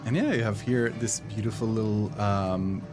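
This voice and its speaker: A man, not a native English speaker, casually showing off a game on a livestream, full of pauses and fillers. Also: on the low side, fairly even intonation, music in the background. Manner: halting, filler-heavy, playful. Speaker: game developer showcasing a game. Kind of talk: casual livestream gameplay chat.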